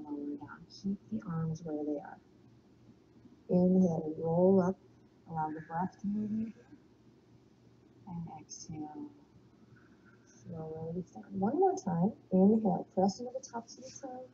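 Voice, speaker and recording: Yoga teacher, woman, slight Texan lilt, calm and soothing, low-pitched and very mellow, on a slightly dull recording. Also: unhurried, long pauses, a little hiss or hum. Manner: calm, soothing cues, breathy whispered exhales. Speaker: yoga instructor. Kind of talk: guided yoga class.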